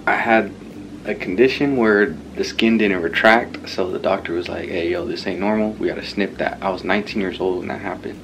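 A man's voice: deep voice, brisk, fairly bright tone. A man in his twenties chatting casually to camera as a vlogger, blunt and slangy, with some swearing. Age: 20s. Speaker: vlogger and new father. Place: small hospital room. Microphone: handheld vlog camera at arm's length. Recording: clearly noisy room ambience.

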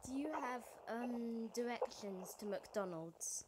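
A woman's voice: a little high, slow, darkish tone. A woman talking casually and making prank phone calls, with noise in the recording. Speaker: prank caller. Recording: noisy home phone-call recording.

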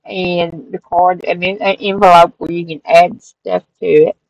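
A woman's voice: on the low side, unhurried, vocal fry, dry recording, highs cut off. A middle-aged woman, casually narrating a flip-through of her handmade craft journal, rambling a little and correcting herself as she goes. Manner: relaxed, rambling, with fillers and self-corrections. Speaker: crafting channel host. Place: craft room at a table.

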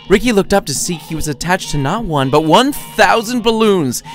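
A man's voice: high voice, fairly bright tone. A man reading his own story as a wry, theatrical narrator. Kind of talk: lively scripted storytelling narration.

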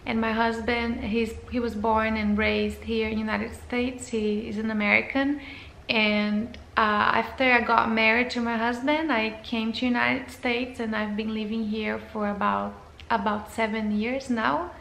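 Brazilian woman vlogger, gravelly, echoey room. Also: fairly high pitch, level tone, a little slow, a bit bright, slight background noise. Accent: Brazilian Portuguese. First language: Portuguese. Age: 30s. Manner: hesitant, with frequent fillers and restarts. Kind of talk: casual channel introduction to camera.

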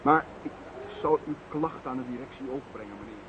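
An actor playing a polite, unflappable hotel receptionist in a radio play, formal, prim and deferential. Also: male voice, mid-range voice, a little fast, close to an everyday voice. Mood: coolly polite. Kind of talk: Dutch radio play acting. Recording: thin narrow-band radio recording with hiss.

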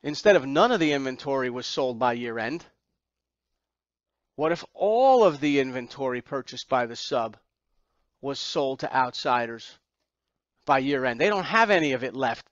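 A man's voice: mid-range voice, fairly bright tone, dry recording, highs cut off. A man teaching an accounting exam class, lecturing through slides step by step with emphatic repetition and rhetorical questions.

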